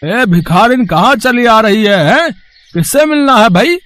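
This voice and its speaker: An actor playing a scornful gatekeeper in a moral story cartoon, brusque, hectoring and dismissive. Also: male voice, very high voice, animated, a little slow, fairly bright tone, exaggerated voice. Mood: scornful, bossy. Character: gruff, scornful male gatekeeper of rich man's house.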